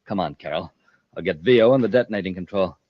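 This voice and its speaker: A man's voice: low voice, quick pace, dry recording. A man playing a ruthless henchman in an old-time radio space adventure, barking orders and threats. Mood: menacing. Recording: muffled vintage radio recording.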